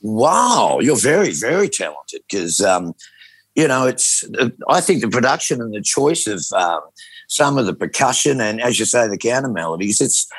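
A man interviewing a guest on the radio, effusive and quick to praise, with plenty of fillers. His husky voice swings widely in pitch. It sounds close and dry, with no room around it. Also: big pitch swings, no echo.

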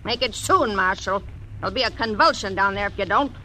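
A woman's voice: medium pitch, a little fast, close to an everyday voice, poor audio. A woman in her fifties playing a tough, blunt frontier woman in a 1940s radio drama. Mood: stern. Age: 50s. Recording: old radio broadcast with faint hiss.

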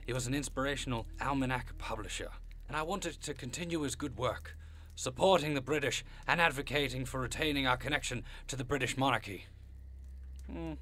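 A man's voice: mid-range voice, fairly quick, pushed and bright. A man playing a genteel, fussy old goat-man monster in an audio drama, with prim, courtly, long-winded speech. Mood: proud, reminiscing.

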